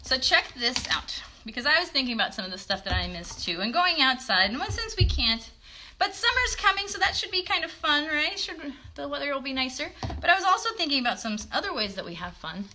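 A woman's voice: high-pitched, big pitch swings, a little fast, pushed and bright, a little hiss or hum. A woman in her thirties casually hosting a children's craft demonstration, encouraging young viewers with questions. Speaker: children's puppet show host. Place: bedroom at home. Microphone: phone at about arm's length.

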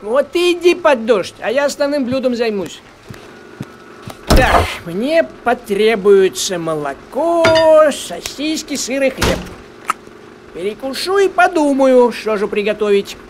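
Sarcastic, practical cartoon tomcat, very high-pitched and animated, a grumbler. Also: male voice, a little slow, background noise, full character voice. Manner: sarcastic, dry wit. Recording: music under the voice.

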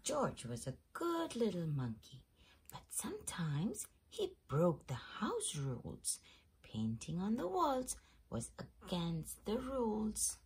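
A woman host reading a children's picture book aloud in an expressive storytelling manner. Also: medium pitch, gravelly, slightly rough. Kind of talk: scripted storybook read-aloud.

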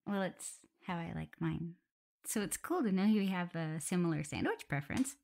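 Woman playing a low, soft-spoken caring werewolf girl. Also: gravelly, breathy edge, full character voice. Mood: tender, caring. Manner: soft, soothing, hesitant, giggly. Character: gentle, caring, playful omega werewolf girl nursing her partner. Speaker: audio roleplay performer. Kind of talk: soft ASMR roleplay, intimate one-sided dialogue.

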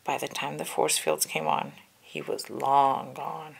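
A woman's voice: very deep voice, measured pace, vocal fry, husky. A woman reading a children's storybook aloud with careful, dramatic narration. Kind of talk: children's book read-aloud, scripted narration.

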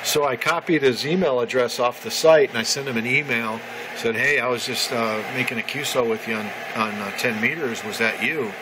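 A man talks casually through his ham radio hobby in a halting, thinking-aloud way. His voice sits at an average pitch. It is recorded on a handheld camera in a small room, with loud noise from the radio all around it. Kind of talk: casual hobby vlog, narrating as he goes. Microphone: handheld camera or phone mic.

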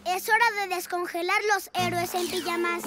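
A woman voicing an eager young boy superhero in a cartoon dub, earnest and excited, shouting out his power calls. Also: very high voice, normal speed, heavy background noise.

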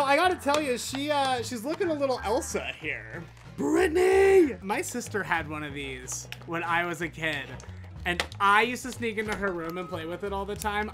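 An American man in his late twenties chats excitedly to camera as he hosts an unboxing. His voice sits high for a man and moves in lively, theatrical swoops. He gushes freely, close to a desk microphone. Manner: effusive, theatrical, gushing. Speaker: host of a toy unboxing show. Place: small room full of toy shelves, indoors. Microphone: desktop USB condenser microphone.

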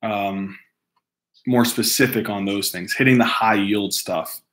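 A man's voice: on the low side, steady tone, measured pace, fairly bright tone. A man in his thirties from Hawaii, reading viewer mail from home to camera in a casual, easily distracted way, laughing often and restarting sentences. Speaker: host reading fan mail. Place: room at home. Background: dogs barking at times.

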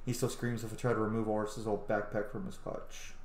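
A man in his thirties doing informal, sweary game commentary, thinking out loud and reading text aloud. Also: lowish voice, flat delivery, brisk, a little hiss or hum. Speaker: gaming video host. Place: small room at home, indoors. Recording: roomy, echoey home recording.

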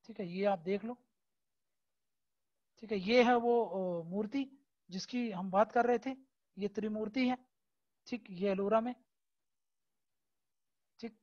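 A man's voice: sits high, takes it slowly, long pauses, a little mellow. Hesitant, explaining male teacher on lo-fi audio. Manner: keeps checking understanding with tag questions; frequent hesitation fillers, explanatory and repetitive. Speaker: exam-prep teacher. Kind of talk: online lecture over a screen recording.

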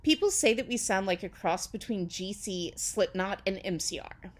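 A woman's voice: medium pitch, animated, moderate pace, close to an everyday voice. A woman voicing a melodramatic, angsty teenage goth girl, petulant and indignant, in a dramatic reading of amateur fan fiction. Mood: self-important. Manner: overwrought, indignant, emphatic.

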